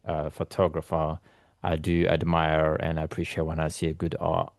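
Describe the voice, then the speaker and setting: A man's voice: extremely low pitch, monotone, moderate pace, vocal fry, dry recording, studio quality. A man in his thirties, born in Ethiopia and raised in London, talking casually as a guest photographer, rambling with many ums and restarts. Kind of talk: casual interview chat. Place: remote call from London.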